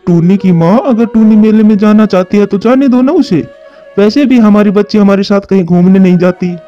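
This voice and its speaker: A man voicing a kindly, poor father bird who is soft on his daughter in a cartoon. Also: sits high, brisk, lo-fi sound, soft background music, close to an everyday voice. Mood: gentle, indulgent.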